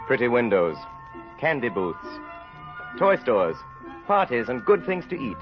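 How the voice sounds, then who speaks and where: A man narrating a radio drama in a grave, deliberate, theatrical style. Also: mid-range voice, very animated. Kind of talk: dramatic scripted narration, old-time radio. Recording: muffled old radio with music behind.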